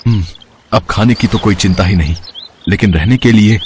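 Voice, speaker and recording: A man voicing a kind, humble young village woodcutter in an animated story, speaking earnestly and devoutly. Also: fairly low pitch, moderate pace, slight background noise, natural voice.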